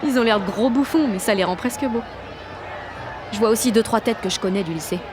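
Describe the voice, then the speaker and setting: A high-pitched, excitable sixteen-year-old girl played by a woman tells her story in an audio drama. She gushes in slang, swears and mocks her own awkwardness. A clear street crowd and urban noise run behind her. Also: brisk, lightly acted. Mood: wide-eyed wonder. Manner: gushing, slangy, swearing, self-mocking. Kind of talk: audio drama, slangy first-person inner narration and dialogue.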